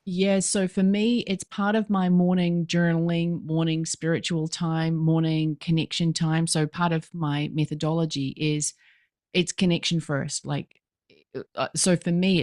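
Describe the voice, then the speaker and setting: Lively New Zealand woman, dry sound. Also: fairly low pitch, no echo. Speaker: business coach and guest. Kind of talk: podcast interview.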